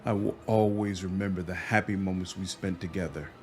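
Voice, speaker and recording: Man acting a soft, low, sorrowful cleric. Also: fairly even intonation, brisk, natural voice. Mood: grieving, tender. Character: grieving gentle male cleric parting from his lover. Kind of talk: video game drama acting.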